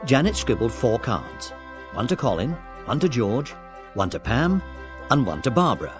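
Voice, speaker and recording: A man's voice: mid-range voice, very animated, moderate pace, over music. A man reading scripted narration for a children's audiobook story.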